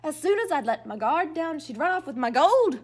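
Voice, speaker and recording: A suspicious old leprechaun man from a storybook, acted out by a woman. He grumbles and boasts in a high, very animated voice. His telling is dramatic and grudging. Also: normal speed. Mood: betrayed.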